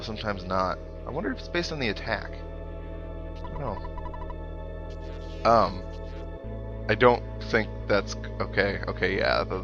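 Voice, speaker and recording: A man with a fairly low voice hosts a video game playthrough. He reads the game's text aloud and drifts into rambling, musing asides, with game music playing clearly behind him. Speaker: let's play host.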